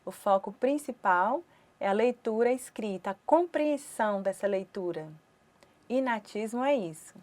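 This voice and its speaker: A woman with a Brazilian Portuguese accent who voices a sign-language teacher's lecture for an online course. She speaks in short phrases broken by pauses. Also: medium pitch, animated, darkish tone.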